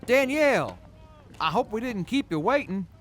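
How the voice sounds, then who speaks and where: A man's voice: very high voice, very animated, normal speed, fairly bright tone, soft background music, exaggerated voice. A man playing an easygoing, good-humored soldier in a post-apocalyptic audio drama. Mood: mild. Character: easygoing male wasteland soldier, good-humored crew member. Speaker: voice actor.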